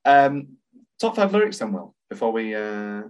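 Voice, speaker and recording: A man's voice: lowish voice, very animated, measured pace, smooth. Chatty man enthusing about musicals. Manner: enthusiastic, quotes lyrics aloud. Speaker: podcast co-host. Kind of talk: casual chat about musicals.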